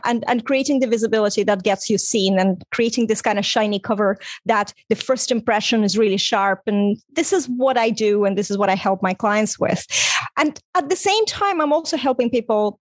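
A middle-aged woman from Estonia whose first language is Russian talks in a fairly high voice. She sounds close and dry, chatting as a marketing strategist being interviewed. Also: no room sound. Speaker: guest, online visibility strategist and coach. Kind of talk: casual conversational interview.

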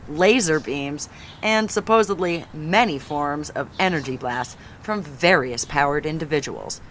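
A woman's voice: lowish voice, fairly bright tone, slight background noise. An actress playing a female TV news reporter delivering a live field report. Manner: crisp, urgent reporting.

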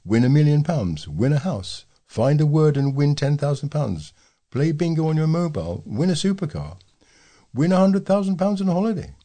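A man's voice: on the high side, sing-song, normal speed, warm tone. A man reading readers' letters aloud as a volunteer newsreader, working from a written script. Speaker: volunteer newsreader for a talking newspaper.

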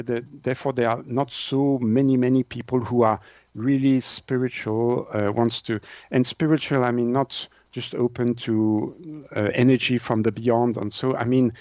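A man's voice: mid-range voice, darkish tone, no echo. A man with a French-Swiss accent, a native French speaker, explaining things in an interview and searching for words as he goes. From Switzerland. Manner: halting, searching for words in non-native English. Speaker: interview guest, naturopath and author. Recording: dull lo-fi audio.

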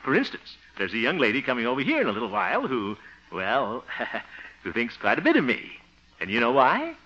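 A man's voice: mid-range voice, wildly swooping pitch, fairly quick, bright, forceful tone, full character voice. Blustering, swooping radio sitcom man. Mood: lecturing. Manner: blustering, muttered asides, frequent chuckles. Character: exasperated, pompous, good-hearted grown son and self-styled mentor. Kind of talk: 1950s radio sitcom acting. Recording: dull old-time radio recording.

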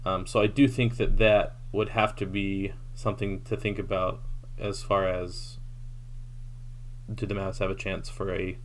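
A man's voice: low-pitched, flat delivery, unhurried, a little hiss or hum, poor audio. A man co-hosting a casual basketball podcast, steadily reeling off stats with frequent ums. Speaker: podcast co-host. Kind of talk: casual sports talk.

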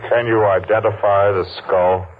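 An actor playing a taunting, vengeful masked man in deliberate, theatrical 1940s radio mystery style, on a muffled old recording. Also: fairly low pitch, steady tone, slight background noise, lightly acted. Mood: mocking menace. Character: masked vengeful man, menacing and mocking, taunting a guilty partner.

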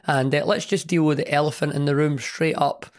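Man with a hoarse, partly lost voice. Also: a little high, steady tone, a little fast. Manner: rambling, chatty, friendly enthusiasm. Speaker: podcast host. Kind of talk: casual hobby podcast monologue.

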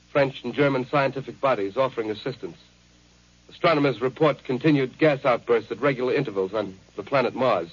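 An actor playing a radio news announcer who reads war bulletins in a steady, urgent way. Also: male voice, medium pitch, slightly rough, lightly acted. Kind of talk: 1930s radio drama acting. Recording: dull 1930s radio recording.

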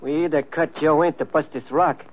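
Streetwise gangster played by a man, highish voice. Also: steady tone, moderate pace, close to an everyday voice. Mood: tough, explaining. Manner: slangy tough-guy talk. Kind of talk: 1940s radio gangster acting. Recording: muffled old radio recording.

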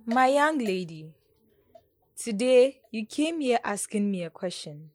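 A woman's voice: fairly high pitch, sing-song, measured pace, darkish tone. A woman reading scripted literary narration as a presenter. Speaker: presenter and reader.

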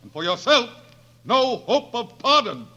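An actor playing a haughty, menacing medieval herald, declaiming formally in 1940s radio drama style. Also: male voice, very high-pitched, big pitch swings, measured pace, sharp tone, heavily acted. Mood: stern, threatening. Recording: muffled 1940s radio broadcast.

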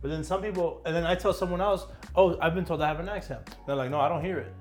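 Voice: medium pitch, fast talker, soft background music. A podcast co-host in easygoing banter, laughing often. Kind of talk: casual podcast chat. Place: Miami.

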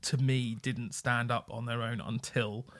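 A man's voice: mid-range voice, little pitch movement, unhurried, a bit bright. A man co-hosting a music podcast, chatting casually with lots of ums and dry jokes. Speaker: podcast co-host. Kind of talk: casual chat about music.

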